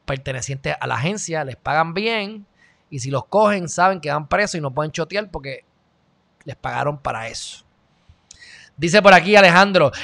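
Animated Puerto Rican male host, bright tense tone, close-miked, chatty delivery. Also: high-pitched, gravelly. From Puerto Rico. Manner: animated, gestures widely, shifts loud and quiet. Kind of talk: live stream chat, reading viewer comments. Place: small indoor studio. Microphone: studio mic on stand, close.

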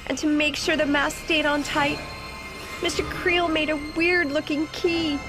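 High, girlish, slow-speaking timid young woman. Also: little pitch movement. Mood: anxious, self-blaming. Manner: girlish, self-blaming. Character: timid, childlike, guilt-ridden young woman locked in doll mask. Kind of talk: dramatic game cutscene narration. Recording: loud music behind.

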